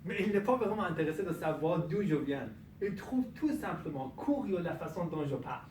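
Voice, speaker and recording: A man's voice: a little high, animated, a little mellow, a little hiss or hum. Man telling a comic story in a hall. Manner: comic storytelling with punchline pauses. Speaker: contest speaker. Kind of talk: humorous speech. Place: club meeting room. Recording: echoey room, from far off. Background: audience laughter.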